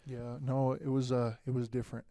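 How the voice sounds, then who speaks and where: Homem jovem contando histórias, voz escura. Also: male voice, medium pitch, a little fast, no echo. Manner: relaxed storytelling, many fillers. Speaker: guest, future pastor. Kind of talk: casual podcast conversation.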